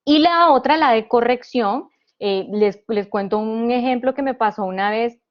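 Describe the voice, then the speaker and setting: A woman from Honduras giving an explanatory presentation as a panelist. She talks through each point step by step, with restarts and "eh" pauses. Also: fairly high pitch, highs cut off. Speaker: panelist presenting a government digital service.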